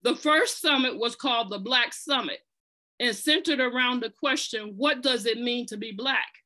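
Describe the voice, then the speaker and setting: A woman's voice: a little high, moderate pace, sharp tone. A woman telling the story of an organization in an earnest, conversational way, partly reading aloud, with fillers and restarts. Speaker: podcast presenter telling an organization's history.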